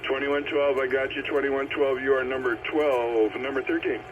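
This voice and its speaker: A man's voice: mid-range voice, fairly even intonation, moderate pace. A man hosting a radio roll call, calling out and confirming numbers in a procedural, repetitive way over CB radio. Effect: CB radio transmission. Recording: tinny, very noisy CB radio. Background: radio static and noise.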